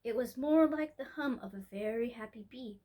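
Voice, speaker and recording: High-pitched woman reading a children's story. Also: normal speed, mellow. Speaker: storyteller, host of a storytime show.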